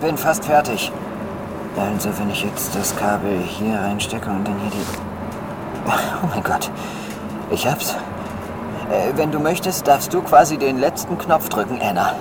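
A man's voice: lowish voice, lively intonation, measured pace, long pauses. An actor playing a practical, earnest man astronaut technician in a radio drama. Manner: practical, matter-of-fact. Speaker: astronaut engineer Isaac. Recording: loud storm noise behind.

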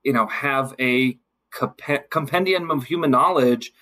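A man speaking as a guest in a conversational podcast discussion, reflective and emphatic, with halting phrasing. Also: medium pitch, sharp tone. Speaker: guest, city council member.

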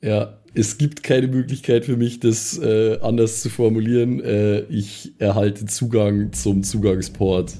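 A man's voice: fairly low pitch, fairly even intonation, unhurried, hardly any pauses, dark tone. A man chatting casually as a tabletop player, hesitantly searching for words. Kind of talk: casual improvised role-play chat.

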